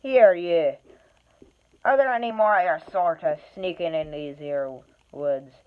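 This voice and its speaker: A woman voicing a big, dim, quarrelsome male troll who talks loud, coarse and blustering. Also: on the low side, unhurried, smooth, natural voice. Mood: gruff bluster. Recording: tinny phone-like sound.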